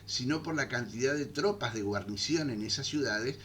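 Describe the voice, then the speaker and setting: A man giving an unscripted history lecture, filling pauses with "eh" and checking in with his listeners as he goes. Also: medium pitch, a bit bright, a little hiss or hum. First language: Spanish. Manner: thinks aloud with frequent "eh" fillers and "¿eh?" checks. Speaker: history teacher.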